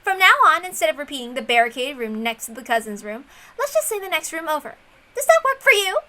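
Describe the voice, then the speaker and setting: A woman's voice: sits high, sing-song, fast talker, sharp tone, pitched above the performer's own voice, exaggerated voice. A woman voicing a smug, intelligent young female detective in a visual novel reading, theatrical, commanding and ruthless.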